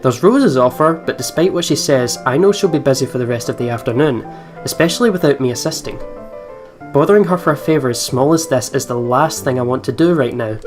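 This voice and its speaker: A man's voice: medium pitch, fairly quick. A man hosting a let's play, reading a visual novel aloud and dropping in informal, sweary reactions. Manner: informal, giggly, sweary asides. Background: game's guitar music.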